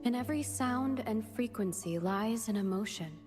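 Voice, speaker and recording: An actress playing a serene, gentle, mysterious young woman in a video game, speaking in a measured, poetic way. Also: fairly high pitch, lively intonation, lightly acted. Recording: music behind the voice.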